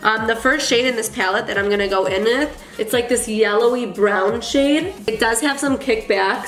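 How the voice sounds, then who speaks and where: A woman's voice: mid-range voice, a bit bright. Young woman, cold-stuffed nasal voice, chatty beauty vlogger, echoey room, faint music. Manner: chatty and gushing, with filler ums. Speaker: makeup artist and beauty vlogger. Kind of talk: casual makeup tutorial chat.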